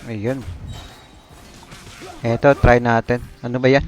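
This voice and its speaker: A man's voice: medium pitch, level tone, unhurried. A Filipino man giving casual live gameplay commentary, muttering short remarks to himself. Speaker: game streamer. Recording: band-limited mic, game music behind.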